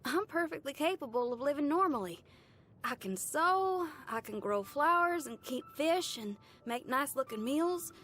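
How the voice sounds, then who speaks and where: A woman voicing a spirited, brash but good-hearted young female rock musician in a video game, chatty and folksy in her expressive delivery. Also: sits high, moderate pace, a little hiss or hum. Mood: friendly, indignant. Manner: folksy, colloquial, chatty and enthusiastic. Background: background music.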